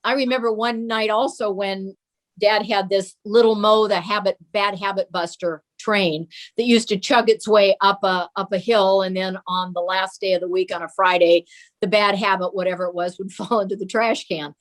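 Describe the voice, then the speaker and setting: A woman's voice: mid-range voice, fairly even intonation, moderate pace. A woman talking conversationally as an interview guest, sharing family memories. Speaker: interview guest, host's daughter. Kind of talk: conversational reminiscing.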